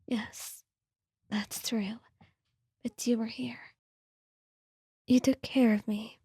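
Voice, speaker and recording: A woman voicing a gentle, reassuring companion in a slow, breathy, soothing ASMR roleplay whisper. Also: on the high side, little pitch movement, no room sound, studio quality, heavily acted. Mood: soft, soothing.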